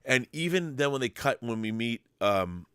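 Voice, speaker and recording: A man hosting a casual film discussion, talking in a halting way with repeated and rephrased starts. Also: mid-range voice, animated, a bit bright, clear, resonant tone, no echo, studio quality.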